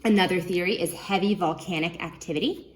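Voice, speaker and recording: A woman children's librarian reading a nonfiction book aloud, expressively, pausing to put questions to young listeners. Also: on the low side, low-quality recording.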